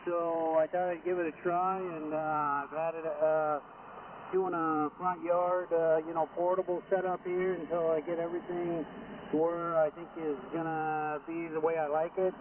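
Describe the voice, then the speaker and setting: Higher-voiced man through heavy radio static. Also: flat delivery, normal speed. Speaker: amateur radio operator calling in. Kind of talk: casual ham radio chat. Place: outdoors, front yard portable radio setup. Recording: distant, tinny radio link with loud static.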